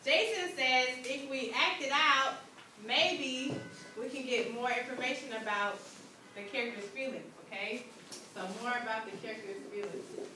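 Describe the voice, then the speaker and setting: A woman with a high voice teaches a class, prompting with short questions. She sounds distant from the microphone in a very echoey room, with clear background noise. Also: normal speed. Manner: checks understanding with short questions. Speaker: English teacher. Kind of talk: interactive classroom lesson. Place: indoor school classroom.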